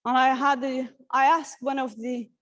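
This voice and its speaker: A woman from Syria giving an earnest, halting personal talk at a workshop, with many restarts and fillers. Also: high-pitched, level tone, fairly bright tone. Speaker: conference speaker. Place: conference hall.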